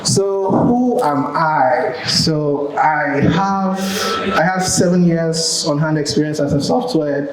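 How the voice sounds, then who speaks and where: A young man with a Ghanaian accent giving a relaxed, chatty tech conference talk, with many ums and restarts. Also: a little high, slow pace. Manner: relaxed, chatty, many ums and self-corrections, laughs at own jokes. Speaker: conference speaker. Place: large conference hall with audience. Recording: roomy hall with crowd.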